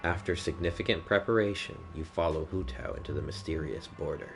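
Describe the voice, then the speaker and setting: A man livestreaming a video game with casual, wry, halting commentary, muttering to himself. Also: sits low, creaky. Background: game music.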